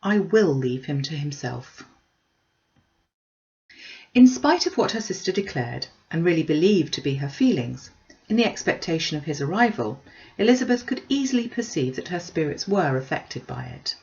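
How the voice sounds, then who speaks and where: A woman's voice: deep voice, big pitch swings, fairly quick, a little mellow, dull audio. A woman reading a classic novel aloud as scripted audiobook narration. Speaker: audiobook reader.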